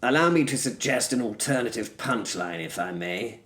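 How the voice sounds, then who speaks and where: An actor playing an urbane, pompous gentleman who narrates a story in a theatrical, wry, formal way. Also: mid-range voice, expressive, normal speed, slightly rough. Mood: grandly theatrical. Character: urbane, pompous gentleman narrator, self-styled practised orator. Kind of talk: scripted first-person story narration.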